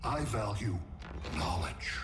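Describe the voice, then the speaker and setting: A man playing a cold, imperious alien intellect in a video game, speaking in a measured, clinical, superior manner. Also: on the low side, lively intonation, music in the background. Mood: calm, imperious. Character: Brainiac, cold imperious male alien collector of worlds. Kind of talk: cinematic game villain acting. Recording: echoing, with loud background noise.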